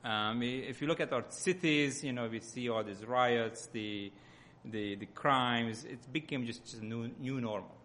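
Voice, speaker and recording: A man with a Hungarian accent gives an informal sermon as a lay church speaker, often halting as he searches for words. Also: mid-range voice, fairly bright tone, slight background noise.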